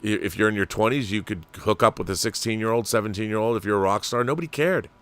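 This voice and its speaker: A man's voice: on the low side, fast talker, fairly bright tone. A man with an American accent hosting a livestream, talking casually and discursively, giving opinions and addressing viewers. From Washington state, United States. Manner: conversational and discursive, with rhetorical questions. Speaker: livestream host. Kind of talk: casual opinionated commentary, reading and answering viewer messages.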